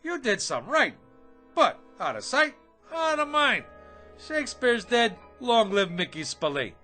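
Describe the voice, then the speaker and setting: Pushy bragging hack writer for radio comedy, swings all over, high pitched, slangy and stammery. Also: male voice, very animated, a little slow, pushed and bright, faint music behind, heavily acted. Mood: pleading hard sell. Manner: slangy, boastful, stammering wheedler. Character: brash, fast-talking, self-important hack writer and hustler. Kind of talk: comedic radio drama acting.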